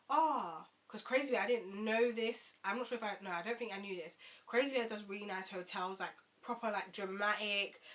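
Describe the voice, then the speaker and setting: A young woman, telling a storytime vlog in an animated, indignant way and sometimes swearing. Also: mid-range voice. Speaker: vlogger.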